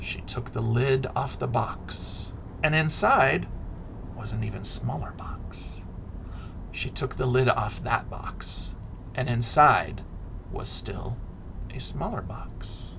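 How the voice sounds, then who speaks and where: A middle-aged man reading a picture book aloud expressively to children, deliberate and dramatic, often dropping into a hush. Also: medium pitch, lively intonation, vocal fry, slight background noise. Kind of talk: expressive children's read-aloud with asides and questions.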